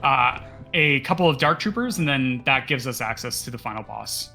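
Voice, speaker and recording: A man's voice: mid-range voice, expressive, normal speed, music in the background. A man co-commentating a live speedrun, explaining the game in a casual way.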